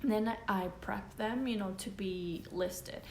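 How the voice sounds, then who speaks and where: A woman's voice: mid-range voice, slow, slight background noise. A young woman with a Spanish accent, chatting as she explains her eBay reselling steps and checks in with "okay?". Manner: chatty, often adds "you know" and "okay?". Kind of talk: casual how-to vlog. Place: living room with a couch, indoors.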